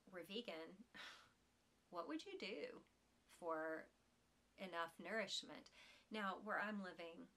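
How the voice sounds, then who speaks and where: Woman in her 40s rambling on her podcast, low-ish gravelly voice, kinda bright, allergy-strained. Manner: chatty and rambling, warm laughs, frequent restarts. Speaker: knitting podcast host. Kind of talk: casual chat to listeners. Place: small home room, seated in armchair.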